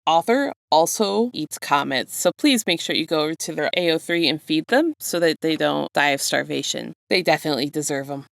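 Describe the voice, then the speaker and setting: A woman reading fan fiction aloud as an expressive storybook narrator, close to the microphone. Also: low voice, a little fast, creaky, few pauses, no echo.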